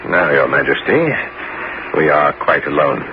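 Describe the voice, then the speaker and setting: An actor playing an aging bishop and former musketeer in a 1970s radio drama, grave and resolute, with theatrical, deliberate, emphatic phrasing. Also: male voice, very deep voice, sing-song, heavily acted. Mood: solemn, fervent. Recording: muffled, noisy 1970s radio broadcast recording.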